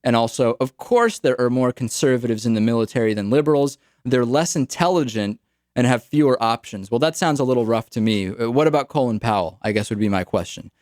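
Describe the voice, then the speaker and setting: A man's voice: mid-range voice, brisk, darkish tone, no echo. Young male radio host, casual wry delivery, close dry mic. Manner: casual, wry, repeats words. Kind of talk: talk radio monologue.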